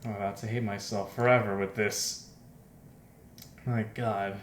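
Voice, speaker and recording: A man's voice: fairly low pitch, flat delivery, unhurried, slight background noise. A man giving casual let's play commentary as host, self-deprecating and exasperated, often breaking into laughter. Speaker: game streamer, host. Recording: roomy echo, faint music behind.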